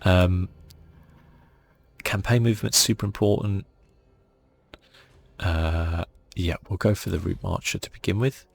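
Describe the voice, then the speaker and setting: A man giving soft-spoken ASMR commentary on a video game in a murmured, laid-back way, as if thinking aloud. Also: low voice, a little slow, gravelly, pauses often. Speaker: gaming channel host. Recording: faint music behind.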